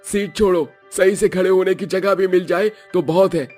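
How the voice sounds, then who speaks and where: A man playing a practical, grumbling working husband in a cartoon story, in an everyday, hurried manner. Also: high voice, steady tone, a little mellow, faint music behind, close to an everyday voice. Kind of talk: animated cartoon story acting.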